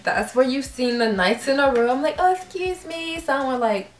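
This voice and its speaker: A young woman vlogger telling a story casually, acting out her own reactions with lively quoted voices. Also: fairly high pitch, normal speed. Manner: animated, acts out quoted reactions. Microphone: camera mic. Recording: roomy echo.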